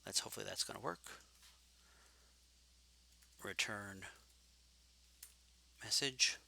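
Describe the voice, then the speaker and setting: A man teaching programming in a live coding lecture, thinking aloud and correcting himself as he goes, his voice growing hoarse from long talking. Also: on the low side, takes it slowly, bright, forceful tone, slight background noise. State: getting hoarse.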